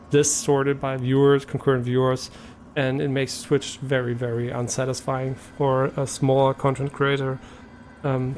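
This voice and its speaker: Young German-accented man, gravelly, midrange pitch; casual streamer who rambles and restarts, faint noise behind. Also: darkish tone. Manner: rambling, hesitant, many fillers and restarts. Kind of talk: casual live stream chat.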